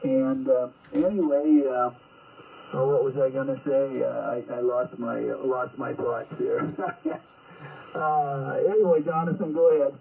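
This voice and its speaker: A man on a ham radio call, talking slowly and haltingly, losing his thread at times. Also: medium pitch, steady tone, creaky, slightly rough, far from the mic. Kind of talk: casual radio chat. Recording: tinny radio line.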